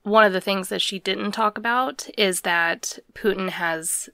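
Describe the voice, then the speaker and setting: A woman's voice: medium pitch, unhurried, creaky, pushed and bright, dry recording, studio quality. A woman hosting a podcast, casually recapping the news in plain, conversational terms. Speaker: podcast host.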